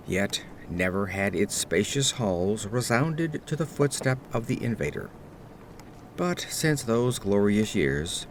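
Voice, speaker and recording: Man acting aged aristocrat, melancholy, formal literary storytelling, gothic audio drama, expressive intonation, faint atmospheric background. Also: on the low side, slight background noise, full character voice. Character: melancholy aged French nobleman recounting his accursed life. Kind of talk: scripted gothic horror narration.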